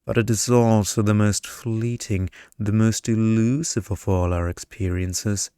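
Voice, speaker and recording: A man voicing a boring, seductive male energy vampire in a storybook, with a murmuring, hypnotic monotone. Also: fairly low pitch, unhurried, clean tone, dry recording, studio quality, close to an everyday voice. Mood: seductive, musing. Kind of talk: comic ASMR romance storybook reading.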